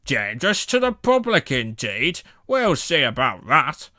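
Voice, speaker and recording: A man's voice: mid-range voice, wildly swooping pitch, unhurried, bright, forceful tone, no room sound, clean studio sound, exaggerated voice. A man voicing a pompous but kindly railway controller who blusters, in a children's storybook. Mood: blustery. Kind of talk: children's storybook character reading.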